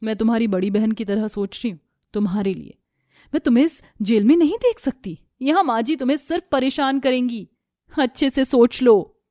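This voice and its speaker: A woman's voice: a little high, animated, normal speed, dark tone, no echo, studio quality, lightly acted. A woman voicing a kind, protective elder sister-in-law, reassuring and earnest, in a family story.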